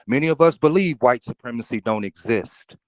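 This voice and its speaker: A man's voice: medium pitch, expressive, brisk, no echo. A man speaking in a live group discussion, preachy and emphatic, often tagging "okay?". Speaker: participant.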